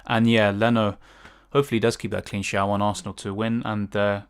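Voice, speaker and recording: A man's voice: lowish voice, little pitch movement, a little fast, clear, resonant tone. A man with a British accent, chatting casually on a livestream and answering viewer questions with lots of fillers. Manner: chatty, thinks aloud with many fillers and restarts. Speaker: fantasy football streamer.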